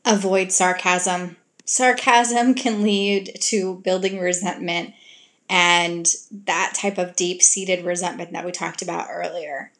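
A woman giving relationship advice to her audience in an earnest, gentle coaching way, now and then acting out sweet example lines to a partner. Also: fairly low pitch, steady tone, slow. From grew up in a Greek family.

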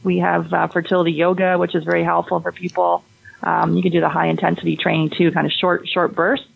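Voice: medium pitch, completely flat delivery, a little fast, creaky, slightly rough, low-quality recording. A fertility health practitioner explaining testing and diet in a conversational interview, often restarting and repeating words.

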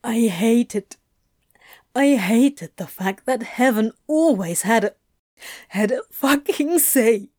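A woman's voice: a little high, animated, slow, darkish tone, no echo, clean studio sound. A woman voicing an anxious, guilt-ridden male angel who is near tears and stammers, repeating his words. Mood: tearful confession. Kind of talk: audiobook fan fiction reading.

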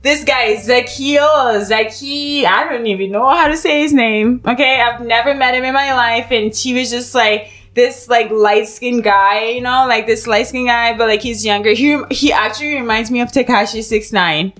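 Blunt, animated young woman storyteller. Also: a little high, normal speed, few pauses. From Zimbabwe. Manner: animated, blunt storytelling with quoted voices and laughs. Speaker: podcast co-host. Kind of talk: casual chat.

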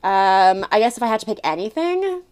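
A woman's voice: mid-range voice, sing-song, fairly quick, darkish tone. Laughing, swearing woman in her late twenties. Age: late 20s. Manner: swears freely, laughs often, lots of "like". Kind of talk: casual chat.

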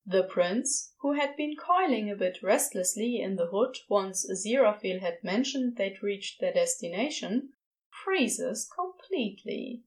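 A woman's voice: medium pitch, expressive, unhurried. A woman reading scripted fiction aloud as the narrator of an audio drama.

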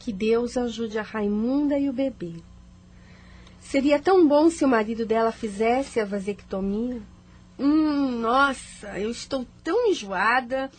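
A woman's voice: a little high, a little slow. An actress playing an impulsive, pleading, lovestruck office woman in an emotive radio soap style. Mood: brisk, uneasy.

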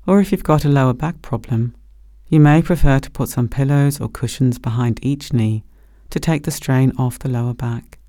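A man gently leading a guided body scan meditation as a meditation teacher, speaking slowly and soothingly. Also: medium pitch, no echo, studio quality. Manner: gentle, slow, soothing instruction.

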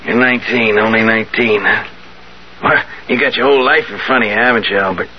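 An actor playing a tired old wheeler-dealer in a 1970s radio drama, halting yet insistent as he bargains. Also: on the low side, steady tone, close to an everyday voice. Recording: muffled, hissy 1970s radio recording.

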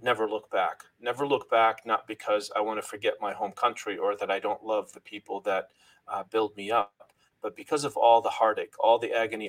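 A man's voice: on the low side, low-quality recording. A middle-aged man originally from Lebanon, a guest in an interview, telling his life story earnestly and thanking God often, with frequent restarts.

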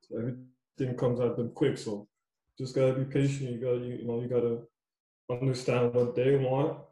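A man's voice: mid-range voice, little pitch movement, moderate pace, dark tone, echoey room, low-quality recording. A young man coaching youth basketball, talking casually in a loose, repetitive way. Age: young adult. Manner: loose, repetitive, says "you know what I mean" a lot. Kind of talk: casual podcast chat.

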